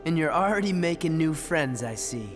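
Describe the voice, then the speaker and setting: Sarcastic, higher-pitched teen tough guy, acted by a man. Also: lively intonation, moderate pace, lightly acted. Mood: sarcastic, suspicious. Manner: sarcastic, blunt. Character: cocky, sarcastic, grumpy teenage boy, car-proud tough guy. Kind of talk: scripted video game cutscene acting. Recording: loud music behind the dialogue.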